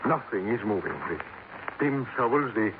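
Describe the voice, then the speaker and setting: A man's voice: medium pitch, lively intonation, moderate pace, phone-quality sound, natural voice. A man playing an urgent, agitated messenger in a 1950s radio drama. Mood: urgent, alarmed. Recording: noisy, thin 1950s radio recording.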